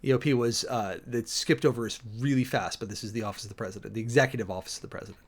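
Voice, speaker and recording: A man's voice: mid-range voice, very fast. A man giving a dramatic, emphatic reading of an official document, breaking off often for sardonic asides and commentary as host. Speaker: host, preacher.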